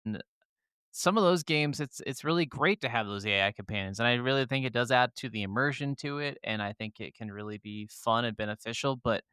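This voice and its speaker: A man with a smooth, clear voice at a middling pitch, talking casually as a podcast co-host. He comes across as agreeable and keen, and the recording is dry with no room sound. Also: brisk, bright, forceful tone, dry recording. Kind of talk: casual gaming discussion.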